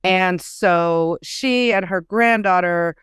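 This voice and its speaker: A woman's voice: fairly low pitch, slow, fairly bright tone, clear, resonant tone, no echo, studio quality. A woman author speaking as a podcast guest, conversational and reflective, telling things in a storytelling way. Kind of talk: casual conversational interview.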